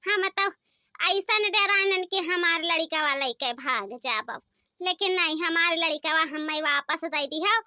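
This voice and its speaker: A fretful, scolding village mother from a cartoon comedy, chattering in an Awadhi accent. Her voice is squeaky, artificially raised and piercingly bright. The sound is dull and lo-fi. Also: measured pace, no echo, exaggerated voice. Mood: grateful, relieved. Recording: dull lo-fi close dry sound.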